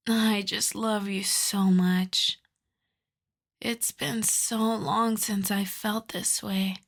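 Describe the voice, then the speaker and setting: A woman acts out a female vampire, possessive and seductive, in an intimate ASMR roleplay. Her pitch is medium and her pace is very slow and lingering. She teases softly, often slipping into a breathy half-whisper. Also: flat delivery, exaggerated voice. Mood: adoring.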